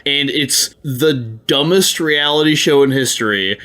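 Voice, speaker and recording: Guy chatting on a panel podcast, normal pitch, swears a lot and really means it. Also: measured pace, bright, forceful tone. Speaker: podcast co-host. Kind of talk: casual panel chat.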